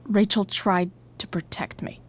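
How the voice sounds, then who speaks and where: A woman playing a rebellious, uneasy teenage girl in a naturalistic video game drama, blunt and halting in her delivery. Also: medium pitch.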